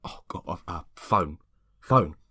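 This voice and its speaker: Guy reading as a cranky sleepless man, low voice, curt and dodgy, audio story. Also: lively intonation, takes it slowly, pushed and bright, slightly rough, no room sound, studio quality, exaggerated voice. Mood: panicked. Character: irritable, sleep-deprived man plotting against his neighbour.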